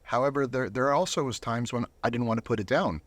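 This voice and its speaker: Earnest man, mid-pitched, dry studio sound. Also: fast talker, no room sound. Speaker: interview guest, volunteer Bible reader. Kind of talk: casual conversational interview.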